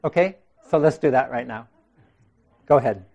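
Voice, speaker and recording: A man leading a group discussion in a meeting room. He talks in a relaxed way, laughing often and restarting his sentences. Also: mid-range voice, moderate pace, gravelly, dull audio. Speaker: meditation group leader.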